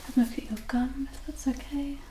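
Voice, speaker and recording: A woman softly whispering a medical ASMR roleplay as a doctor, breathy, gentle and reassuring. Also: high-pitched, fairly even intonation, brisk. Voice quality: breathy, mostly whispered soft voice. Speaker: ASMR performer roleplaying a doctor giving a physical exam. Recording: roomy echo, distant mic, clear background noise.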